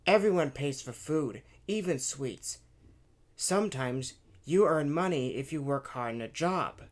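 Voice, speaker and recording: Narrator as a smart-aleck but helpful school kid, boy, explains stuff patiently, storybook read, lively pitch. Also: a little slow, lightly acted. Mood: explaining. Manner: patient, know-it-all explaining. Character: helpful, self-assured schoolboy explaining things.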